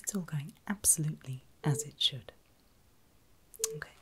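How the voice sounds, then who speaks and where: A woman whispering in a breathy, soothing and reassuring way, as a caregiver in an ASMR medical roleplay. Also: deep voice, noisy background. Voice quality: breathy, mostly unvoiced whisper. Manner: soothing, reassuring, slow and deliberate. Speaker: ASMR performer playing a surgical nurse.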